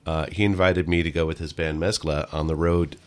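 A man's voice: a bass voice, level tone, brisk. A man, a former radio DJ, guesting on a radio show and telling stories in an easygoing way. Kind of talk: casual interview storytelling.

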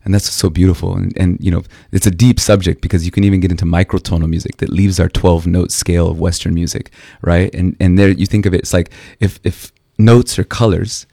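A man's voice: sits low, flat delivery, fairly quick, creaky, few pauses, mellow, no echo, clean studio sound. A man from Brazil who grew up with Portuguese, Spanish and English, speaking earnestly and reflectively as an interview guest. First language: Portuguese and Spanish alongside English. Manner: earnest, philosophical. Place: radio studio.

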